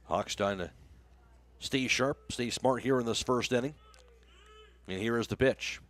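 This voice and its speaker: Man, radio sports announcer, live baseball play-by-play, mid-range male pitch, short descriptive phrases. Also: a little slow, a bit bright. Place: live outside broadcast at a baseball game.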